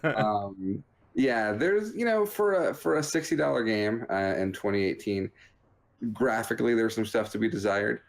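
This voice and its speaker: A man's voice: fairly low pitch, animated, moderate pace, vocal fry. A man hosting a video game show, giving casual, rambling commentary in a joking, understated way.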